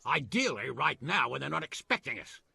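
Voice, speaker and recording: An actor playing a gruff, hot-tempered medieval lord in a game, with a raspy, gravelly voice and blustery manner. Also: male voice, medium pitch, very animated, fairly quick, sharp tone, dry recording, exaggerated voice. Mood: gruff, forceful. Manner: blustery, sardonic. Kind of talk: video game cutscene acting.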